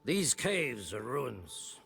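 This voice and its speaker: An actor playing a grumpy, sardonic old druid in a video game cutscene, speaking in archaic, wry, disdainful phrases. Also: male voice, medium pitch, very animated, very slow, vocal fry, fairly bright tone, husky, faint music behind, full character voice. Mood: grumbling.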